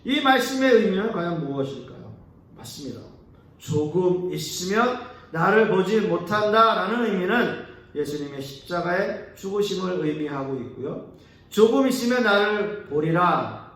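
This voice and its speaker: A man preaching a sermon as pastor. He talks earnestly, rises on key words, and lets his sentences trail off soft and low. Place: church sanctuary. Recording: echoey church hall, mic at a distance.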